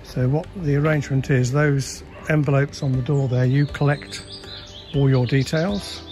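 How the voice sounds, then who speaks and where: A man's voice: mid-range voice, moderate pace, faint music behind. Man giving a walking campsite tour. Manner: relaxed, chatty, guiding with frequent fillers. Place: outdoors on a wooded campsite. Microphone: handheld camera, filming while walking.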